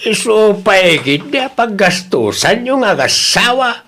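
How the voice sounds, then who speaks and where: A man's voice: high-pitched, sing-song, takes it slowly, pushed and bright, heavily acted. A man playing an old father in an audio drama, nosy and complaining, with grumbling exclamations.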